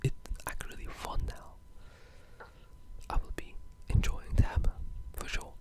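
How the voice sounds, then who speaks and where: A gaming creator speaking in a breathy, unvoiced whisper, giving rambling but enthusiastic ASMR-style game commentary. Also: measured pace.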